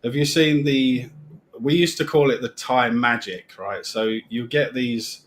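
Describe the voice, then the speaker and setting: A middle-aged man with a British accent, chatting as a guest on a live stream and rambling with restarts that trail off quietly. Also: mid-range voice, fairly even intonation, unhurried, slight background noise. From England. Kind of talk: casual live-stream chat.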